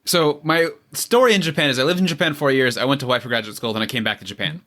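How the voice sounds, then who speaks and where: Bright, quick-talking man. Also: mid-range voice. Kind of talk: casual anecdotal chat.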